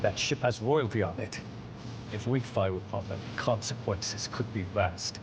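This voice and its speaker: A man's voice: measured pace, lightly acted. A man voicing a dutiful, cautious admiral, formal and deferential, in a noisy game cutscene. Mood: wary, grave. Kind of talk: animated game cutscene acting. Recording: clear background noise, rumble.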